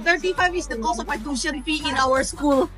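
A woman's voice: high voice, fairly quick, over music. A young woman from Indonesia, chatting and laughing easily in a café. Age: young adult. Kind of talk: casual chat. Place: indoor café and mall.

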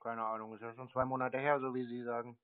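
A man talks on a thin, tinny phone line at a medium pitch. He is curt and contrary, stonewalling whoever he is speaking to. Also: little pitch movement, fast talker. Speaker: scam-baiter taking phone calls. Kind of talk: phone conversation. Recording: tinny phone-quality audio.

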